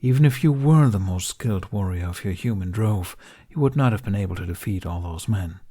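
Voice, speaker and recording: A man's voice: lowish voice, expressive, brisk, mellow, slightly rough. A man voicing an earnest, protective male dragon in an audiobook, insistent and emphatic.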